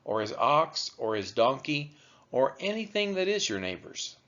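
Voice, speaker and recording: A man reading scripture aloud as a narrator for a church read-along, in measured, deliberate phrasing. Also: medium pitch, fairly bright tone. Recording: dull, lo-fi recording.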